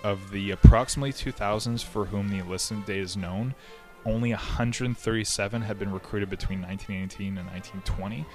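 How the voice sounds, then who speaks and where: Man, very low voice, casual history podcast host, lots of ums and restarts, faint background music. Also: fairly even intonation, fairly quick, a little mellow. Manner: conversational, many fillers and restarts. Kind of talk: casual explanatory history talk.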